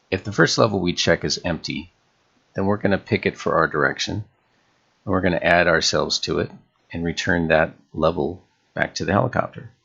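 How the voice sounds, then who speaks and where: Male tutorial host, very low register with heavy fry, measured instructional screencast delivery. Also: a bit bright, lo-fi sound. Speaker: game development tutorial host.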